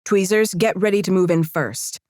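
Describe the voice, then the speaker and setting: A woman's voice: mid-range voice, normal speed, a little mellow, dry recording, studio quality, natural voice. An actress playing a seasoned female leader of fantasy fighters in an audiobook, curt, commanding and matter-of-fact. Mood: terse, tactical. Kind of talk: audiobook character acting.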